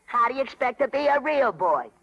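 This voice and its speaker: A man playing a cocky, sneering street boy with slangy, gravelly delivery in 1930s radio style. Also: very high voice, big pitch swings, a little fast, full character voice. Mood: scornful. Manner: slangy, brash. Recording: muffled 1930s radio broadcast.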